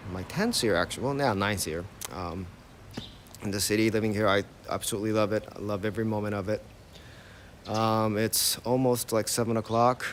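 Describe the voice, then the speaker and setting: A man's voice: on the low side, a little hiss or hum. Chatty American man on a morning street. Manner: casual, chatty, reads viewer comments aloud. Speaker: tour guide. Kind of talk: live-streamed walking tour chat. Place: outdoors on a city street in early morning.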